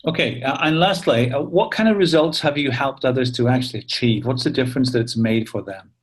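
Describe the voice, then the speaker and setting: A man's voice: mid-range voice, a little fast. A man interviewing a coach, asking brief, polite questions.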